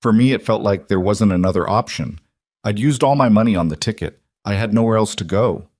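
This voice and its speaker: A man reading a dramatic western story as scripted narration, measured and deliberate in his delivery. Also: lowish voice, darkish tone, no room sound, clean studio sound. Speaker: audiobook-style story narrator.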